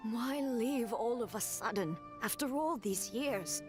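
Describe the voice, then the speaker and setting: A woman's voice: a little high, lively intonation, measured pace, over music. An actress playing a worried older village woman who speaks in a pleading, distressed way.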